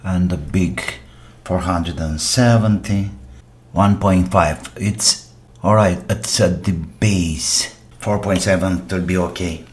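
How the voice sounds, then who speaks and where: A man casually narrating a workbench repair, half muttering to himself in short phrases with pauses between them. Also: deep voice, fairly even intonation. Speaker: electronics repair host.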